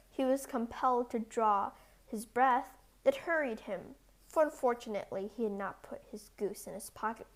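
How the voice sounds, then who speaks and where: A woman storyteller narrating a scripted fairy tale for children. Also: fairly high pitch.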